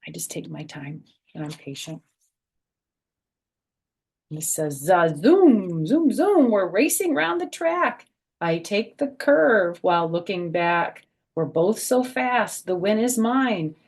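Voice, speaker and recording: Older woman, expressive storytime reader. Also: fairly low pitch, slow, slightly rough. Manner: expressive, sing-song reading with soft, gentle asides. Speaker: storyteller, children's author and former schoolteacher. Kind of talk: children's read-aloud storytime with chatty asides.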